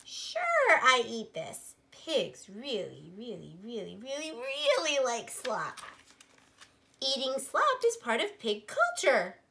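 A woman's voice: high-pitched, huge pitch swings, slow pace, gravelly, bright, forceful tone, exaggerated voice. A woman voicing an eager, proud little girl pig in a storybook read-aloud, gushing and emphatic.

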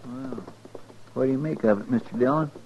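A man's voice: medium pitch, brisk, a little mellow, natural voice. A man playing an anxious, deferential deputy sidekick in a 1950s radio western. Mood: worried. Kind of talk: 1950s radio drama acting. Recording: muffled, noisy 1950s radio recording.

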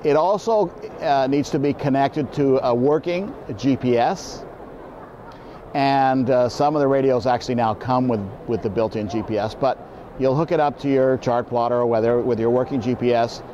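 A man, explaining things informally as an expert interview guest in a halting way, repeating words. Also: mid-range voice, mellow. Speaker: boating program manager, expert guest. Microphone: lapel mic. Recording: slightly dull, faint background noise.

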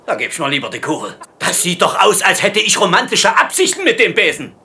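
An actor playing a pompous, exasperated, blustering middle-aged German camp commandant in a slangy comic sitcom dub, with a whining, theatrical manner. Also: male voice, sits high, sing-song, a little fast, pushed and bright, heavily acted. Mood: complaining, disgusted.

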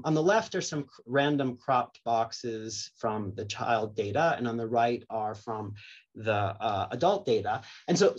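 A man giving a conference keynote over slides by video call, thinking aloud with many fillers and restarts. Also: mid-range voice. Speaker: keynote speaker. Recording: dull lo-fi video call audio.

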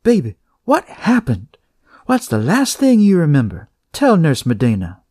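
A man acts out a big motherly nurse in an audio story. The voice is pitched high and swoops about, exclaiming with delight and showering endearments. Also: wildly swooping pitch, measured pace, darkish tone, dry recording, clean studio sound, exaggerated voice. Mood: warm, coaxing. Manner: effusive, exclaiming, full of endearments.

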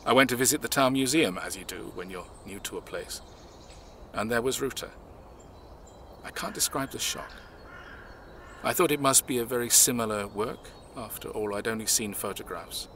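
A man acting in an audio drama, playing a reserved, measured man who recounts his family's past in a reflective way. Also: medium pitch, fairly bright tone, slight background noise, natural voice.

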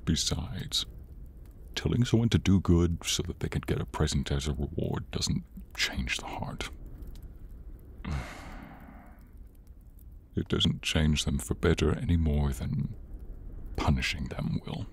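A man playing an exasperated, menacing horned demon in an ASMR roleplay, theatrical and grumbling, with a husky, breathy voice. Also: very deep voice, slow pace, pauses often, exaggerated voice. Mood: resigned.

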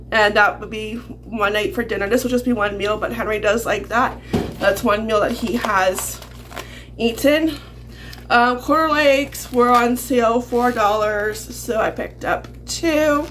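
A woman's voice: fairly high pitch, a bit bright. A middle-aged woman chatting casually and in a rambling way to camera as a vlogger. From Canada. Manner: rambling, chuckles often. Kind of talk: casual chatty grocery haul vlog. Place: small home kitchen, indoors. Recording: noisy home kitchen camera audio.

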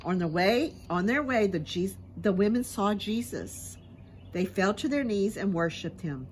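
Expressive middle-aged woman reading to children. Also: medium pitch, a little slow, slight background noise. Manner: expressive, simple storytelling for small children. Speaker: preschool teacher. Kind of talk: reading a Bible story aloud to young children. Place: outdoors in a sunny grassy backyard. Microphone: camera a short distance away.

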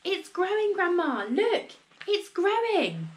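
A woman voicing an eager, excited young boy in a children's storybook, calling out loudly. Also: normal speed. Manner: high, eager shouting.

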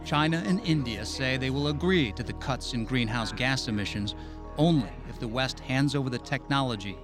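Male narrator, mid-range voice, scripted documentary read with clear music underneath. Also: mid-range voice, normal speed. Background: background music.

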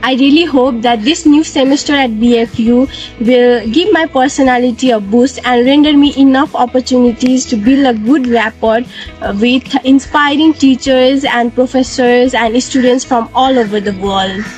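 A young woman from Nepal, a master's student, introducing herself in measured, formal phrasing outdoors. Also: sits high, darkish tone. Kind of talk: scripted self-introduction. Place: outdoors by greenery. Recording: slightly dull audio with faint music.

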